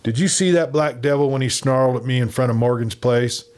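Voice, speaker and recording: A man voicing a cruel, excitable cowboy outlaw. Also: brisk, close to an everyday voice. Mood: excited, cruel. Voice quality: squeaky tenor.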